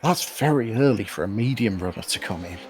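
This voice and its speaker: A man giving casual, analytical live commentary on a sim race, explaining things in a measured way. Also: fairly low pitch, lively intonation. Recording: faint noise behind voice.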